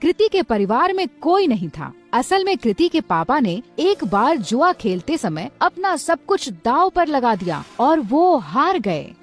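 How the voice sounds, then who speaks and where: A woman storyteller giving an expressive scripted narration of a story. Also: sits high, measured pace, few pauses, soft background music.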